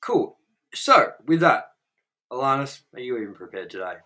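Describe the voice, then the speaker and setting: A man's voice: medium pitch, moderate pace. Blunt, swearing young man co-hosting a review. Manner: blunt, profane and animated. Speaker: co-host. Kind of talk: casual review chat.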